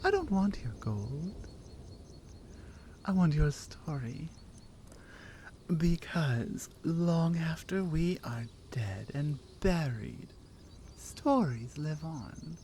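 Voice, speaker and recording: Woman playing a slow-talking, mellow Nordic warrior woman. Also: low voice, lively intonation, slight background noise, exaggerated voice. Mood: earnest, ambitious. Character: bold, teasing, confident female Nordic warrior. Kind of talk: ASMR roleplay audio acting.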